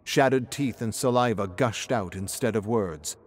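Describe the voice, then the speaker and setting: Low voiced guy narrating a dramatic story off a script. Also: moderate pace, a little hiss or hum.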